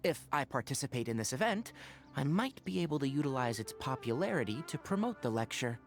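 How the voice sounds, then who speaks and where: A sensible young scholar from a video game, speaking in a mid-range voice with lively swings of pitch. He is articulate and a little dry, with faint music underneath. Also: a little fast. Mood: thoughtful. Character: studious young male scholar, sensible, dryly exasperated.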